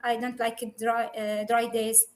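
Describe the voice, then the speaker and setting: Student, woman, slow careful delivery, lots of fillers, video call. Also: fairly high pitch, flat delivery, a little mellow. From Syria. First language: Arabic. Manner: hesitant, many pauses and fillers. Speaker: English student. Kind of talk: online conversation lesson.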